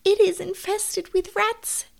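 Fretful storybook mother cat: high, tearful, dramatic, very animated. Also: a little slow, noisy background. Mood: anxious, tearful.

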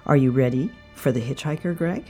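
A man's voice: medium pitch, a little fast, a little mellow, soft background music. A man hosting a radio drama show, reading a scripted introduction. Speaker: show host.

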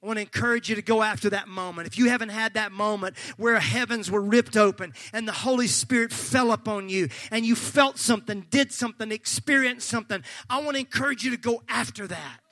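A male pastor preaching a sermon, fervent and folksy, joking with the congregation and breaking into shouts. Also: high voice, fairly quick, hardly any pauses, dry recording. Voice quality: slightly gravelly.

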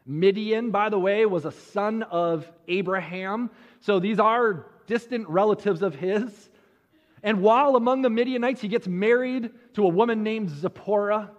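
A man preaching a sermon, telling a Bible story conversationally with dramatic pauses and rhetorical questions. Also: high voice. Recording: muffled audio with long hall reverb.

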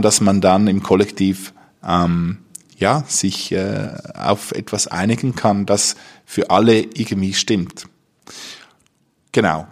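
A man hosting a podcast, speaking casually and off the cuff, haltingly searching for words. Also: fairly low pitch, darkish tone. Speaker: podcast host and interviewer. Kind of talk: casual unscripted podcast talk.

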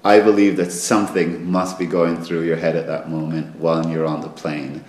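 A man's voice: low-pitched, flat delivery, normal speed, a little mellow. A man hosting a travel podcast, introducing the show and interviewing his guest.

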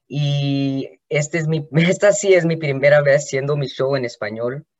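Slow, hesitant man on a podcast. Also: on the high side, dull audio. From Los Angeles, California. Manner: slow, hesitant, with many restarts. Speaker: podcast host. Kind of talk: personal monologue.

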